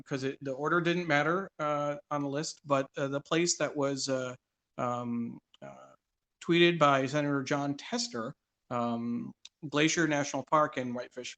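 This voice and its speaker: A man hosting a casual interview show, conversational and halting as he asks questions and reads things out. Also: on the high side, sharp tone. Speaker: guest host of a live read-along show. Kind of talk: casual interview, reading a list aloud with filler pauses.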